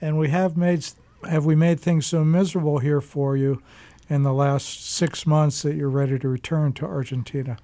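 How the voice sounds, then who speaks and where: A man hosts a live radio show from a studio, his tone mellow and a little high. He asks his guest relaxed, friendly questions. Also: normal speed. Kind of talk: studio interview.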